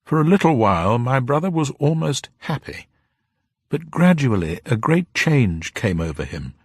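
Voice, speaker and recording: Male narrator as elderly gentlewoman, lifted pitch, stiff, formal, proud, sorrowful, audiobook drama, dry sound. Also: sing-song, moderate pace, husky, no echo. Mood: solemn confiding. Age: older. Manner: genteel, formal, solemn. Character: little old upper-class woman, grieving, stiff and proud.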